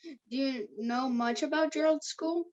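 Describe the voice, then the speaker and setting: A woman's voice: sits high, measured pace, darkish tone, highs cut off. A woman reading the part of an eager, persuasive fourteen-year-old boy at a script table read. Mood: concerned.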